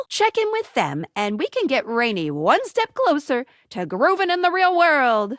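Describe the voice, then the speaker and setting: An actress voicing an upbeat, playful female helper in a video game, perky and expressive as she delivers scripted hints to the player. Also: high voice, dry recording, studio quality. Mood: upbeat, helpful. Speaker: game character guide giving hints.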